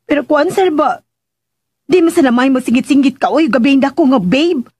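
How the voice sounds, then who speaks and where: A woman's voice: sits high, expressive, brisk, a little mellow, no echo, studio quality. An actress playing a flustered, feisty young working woman in a radio drama, exclaiming and stammering protests with a Filipino Visayan accent. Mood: flustered, protesting.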